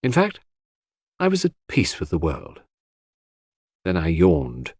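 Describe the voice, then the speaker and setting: A man's voice: sits low, wildly swooping pitch, takes it slowly, a little mellow, breathy edge, no room sound, clean studio sound. A man reading a first-person story as an audiobook narrator, in a scripted literary style.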